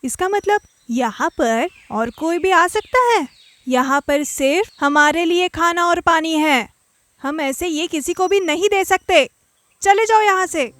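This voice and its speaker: A woman's voice: extremely high pitch, a little slow, a bit bright, dry recording. A woman voicing a haughty, territorial female fish with a bossy, scornful manner in a children's cartoon. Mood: hostile. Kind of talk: Hindi children's animated story acting.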